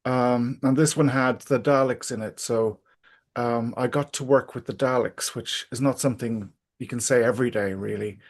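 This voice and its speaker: A middle-aged or older man, talking casually and reflectively as an interview guest, pausing often to think aloud. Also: medium pitch, steady tone.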